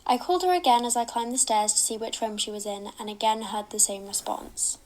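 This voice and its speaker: Higher-pitched woman narrating a spooky story. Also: a little fast, darkish tone, rough recording.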